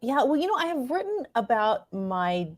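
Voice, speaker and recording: An American woman speaking reflectively as an interview guest, thoughtful and halting as she searches for her words. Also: sits high, very animated, dry recording, studio quality. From New York state, United States. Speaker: interview guest, playwright and performer.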